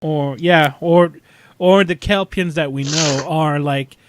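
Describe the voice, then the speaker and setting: A man talking casually as a podcast panelist about sci-fi television, thinking aloud in a halting way. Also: fairly high pitch, steady tone, a little hiss or hum.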